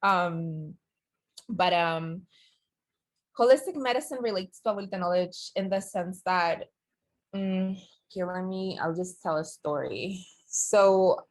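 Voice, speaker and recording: A woman's voice: on the low side, slow pace. A woman from Peru giving a casual online talk as a guest speaker. She answers audience questions in a searching, conversational way, with many fillers and self-corrections, over a computer mic on a video call.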